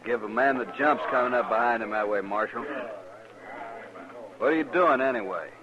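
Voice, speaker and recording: A man playing a shifty, surly rifle thief in a radio western, defensive, with rough uneducated frontier speech. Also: mid-range voice, normal speed, close to an everyday voice. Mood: defiant. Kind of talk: 1950s western radio drama acting. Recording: dull, noisy old radio recording.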